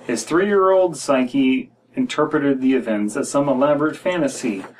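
A man's voice: medium pitch, normal speed, darkish tone, slight background noise, poor audio. An American man in his thirties reading a horror story aloud as a podcast co-host, with wry, critical asides. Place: small room indoors.

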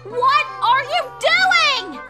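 A woman's voice: extremely high pitch, lively intonation, drawn-out words, over loud music, pitched above the performer's own voice, exaggerated voice. A woman voicing an earnest, bookish young female cartoon unicorn with a prim, protesting manner. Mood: exasperated. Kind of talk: fan fiction character reading.

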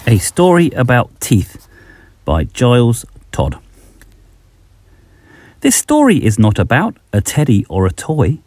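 Very animated middle-aged male storyteller. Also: medium pitch, slow pace, long pauses, no room sound. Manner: expressive, addressing young children. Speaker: children's author and storyteller. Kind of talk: read-aloud bedtime story in rhyme.

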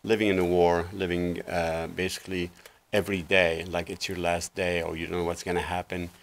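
A man in his sixties from Lebanon speaks in a very low voice with a gravelly edge. He tells his story earnestly and loosely, leaning on fillers, as a guest on a small TV studio set. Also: level tone, a little fast. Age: 60s. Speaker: guest life coach. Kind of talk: casual interview talk.

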